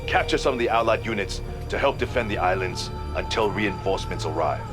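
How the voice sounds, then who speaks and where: A man's voice: lowish voice, expressive, a little fast, close to an everyday voice. A man playing a stern, proud prince. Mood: resolute. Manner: formal, contemptuous briefing. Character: proud young male crown prince, stern and vengeful. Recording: game cutscene audio over music.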